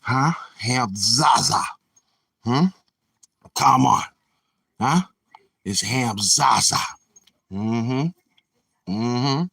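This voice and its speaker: A man's voice: medium pitch, extremely slow, creaky, long pauses, a bit bright, husky. A man hosting his own channel in a boastful, taunting livestream monologue, swaggering and repeating himself. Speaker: YouTube channel host.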